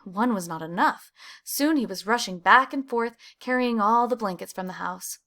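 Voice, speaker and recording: A woman reading a children's picture book aloud, with animated emphasis on key words. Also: medium pitch, normal speed. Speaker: storybook reader.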